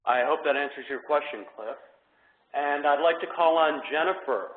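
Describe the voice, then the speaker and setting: A man moderating a conference call, politely reading out participants' questions. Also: a little high. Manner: polite, measured, reads questions aloud. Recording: echoey phone line.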